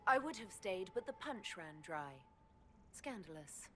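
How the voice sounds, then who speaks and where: A woman playing a composed, dry-witted heroic leader in a video game, with a measured, understated manner. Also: medium pitch, big pitch swings, fairly bright tone, soft background music. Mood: dry, teasing. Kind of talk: dramatic video game acting.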